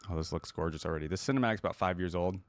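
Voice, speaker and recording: A man's voice: low voice, very fast. A man hosting a reaction video, reading stories aloud and commenting informally, with exclamations and rephrasing as he goes.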